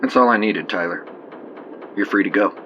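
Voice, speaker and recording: An actor playing a cool, measured government investigator in an audio drama. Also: male voice, on the low side, sharp tone, dull audio, a little hiss or hum. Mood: detached. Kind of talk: audio drama acting, formal report.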